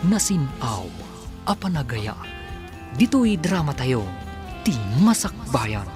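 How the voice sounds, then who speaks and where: A man with a Filipino accent announcing and narrating a radio drama, with drawn-out, theatrical phrasing. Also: a little high, sing-song. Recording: prominent music bed.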